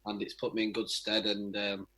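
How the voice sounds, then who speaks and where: A man's voice: fairly low pitch, completely flat delivery, moderate pace, bright, forceful tone. A young man, a footballer, giving casual answers in a radio interview. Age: young adult.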